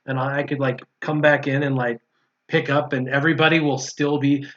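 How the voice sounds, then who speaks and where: A man's voice: medium pitch, flat delivery, brisk. A man chatting casually on a gaming stream with teasing, slangy banter, as the host. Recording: dull, lo-fi voice audio.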